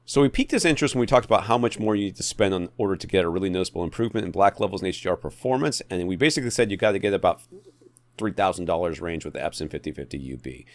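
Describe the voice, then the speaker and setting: A man hosting a podcast, reading out questions and chatting about tech in an animated, emphatic, conversational way. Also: deep voice, racing. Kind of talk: casual chatty tech talk.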